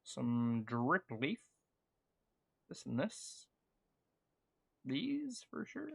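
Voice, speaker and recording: A young adult man streaming a game, chatting casually and earnestly in a rambling, halting way as he thinks out loud. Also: mid-range voice, huge pitch swings, gravelly, breathy edge. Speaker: gaming streamer and video creator. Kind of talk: casual chat over live gameplay.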